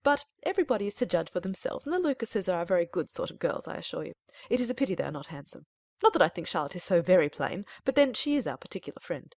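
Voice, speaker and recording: A middle-aged society mother, put on by a woman reader, full of gossip and self-importance. Her pitch moves up and down freely as she effuses in a storybook style. The recording is close and dry. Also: sing-song, fast talker, a little mellow, dry recording. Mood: self-satisfied. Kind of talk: dramatized audiobook character reading.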